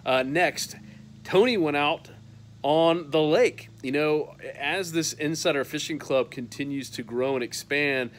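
A man's voice: on the high side, measured pace, slight background noise. Chatty man filming a patio update. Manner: upbeat and chatty, lots of "uh" fillers. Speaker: fishing newsletter host. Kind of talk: casual weekly roundup to camera. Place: covered patio outdoors.

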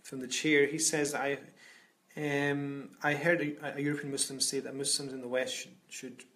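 A man's voice: mid-range voice, flat delivery, moderate pace, fairly bright tone. A man, an Islamic scholar speaking earnestly from home over a video call, often repeating words as he restarts. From Scotland. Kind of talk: reflective talk on a video call. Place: home room indoors. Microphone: webcam on a video call.

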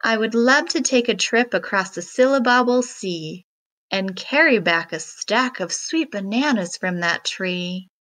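A woman's voice: mid-range voice, animated, measured pace, a bit bright. A woman voicing a clever little female monkey from a picture book, sly and scolding. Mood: yearning.

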